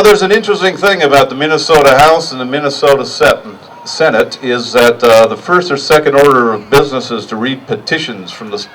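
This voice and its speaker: A middle-aged man giving a partly improvised rally speech in a deliberate, plainspoken way, pausing for emphasis. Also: medium pitch, a bit bright, far from the mic, a little hiss or hum, poor audio. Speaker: rally speaker.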